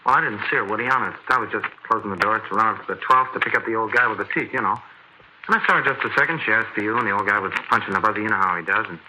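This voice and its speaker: An actor playing a chatty, teasing American elevator operator with breezy slang, in 1940s radio drama style. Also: male voice, on the low side, racing, bright, forceful tone. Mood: earnest, gossipy. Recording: noisy vintage radio recording.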